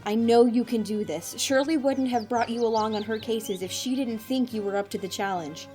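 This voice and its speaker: A woman's voice: a little high, normal speed, dark tone, faint music behind, natural voice. A woman playing a commanding, wry mission director in an audio drama, speaking with determination. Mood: earnest, encouraging.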